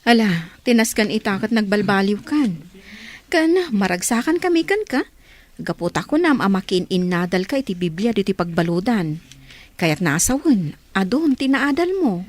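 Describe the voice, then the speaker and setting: A woman's voice: mid-range voice, very animated, moderate pace. A woman playing a caring, devout middle-aged aunt in an Ilocano radio drama. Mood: caring, pleased.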